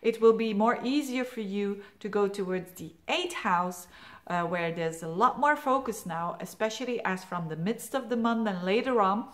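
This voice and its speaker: A woman with a Flemish accent talks through a monthly horoscope in a loose, rambling way. Her first language is Dutch, and her English comes with plenty of fillers, repeats and restarts. Her voice sits at an ordinary middle pitch. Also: moderate pace. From Belgium. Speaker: astrologer. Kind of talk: casual monthly horoscope talk.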